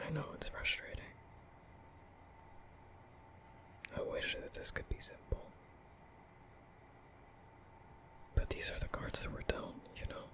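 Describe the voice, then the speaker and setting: A man playing a nervous, gentle young vampire in a whispered ASMR roleplay, soft and breathy, speaking in a tender, hesitant, apologetic way. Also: natural voice. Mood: tender concern. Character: nervous gentle young male vampire comforting a sick human.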